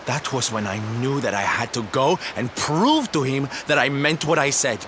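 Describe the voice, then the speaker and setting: A man's voice: mid-range voice, animated, moderate pace, noisy background, natural voice. An actor playing a flamboyant, sensitive male circus performer in a video game, camp and dramatic in his delivery. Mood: rueful, resolving. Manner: camp, dramatic, sighing, coy. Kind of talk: video game character acting.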